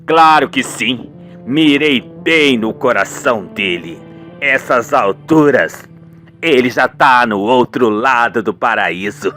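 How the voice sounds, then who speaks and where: A man's voice: medium pitch, animated, slow, bright, forceful tone, soft background music, voice pushed down. A man playing a cocky, cold-blooded hitman in a radio soap, with a smug, slangy manner.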